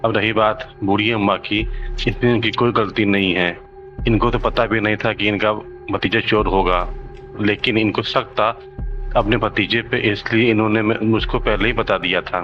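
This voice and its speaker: A man playing a calm, earnest village headman who addresses villagers in a measured, moralizing way in a children's cartoon. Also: lowish voice, lo-fi sound, faint music behind, close to an everyday voice. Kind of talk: Hindi children's cartoon dialogue, speech to villagers.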